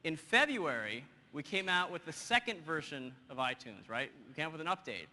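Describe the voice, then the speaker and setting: A man in his thirties giving a lively live software demo on a conference stage, joking with the audience. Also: fairly high pitch. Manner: energetic, quick and joking with the audience. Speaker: presenter. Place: large conference hall stage. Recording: hall reverb, faint noise.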